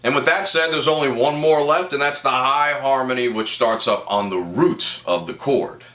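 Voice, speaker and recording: A man's voice: mid-range voice, normal speed. A man explaining a song's chords and harmonies as a guitar teacher, breaking in with playful, wry asides. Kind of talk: guitar tutorial with music analysis. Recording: echoey room with loud music. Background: loud guitar and band music.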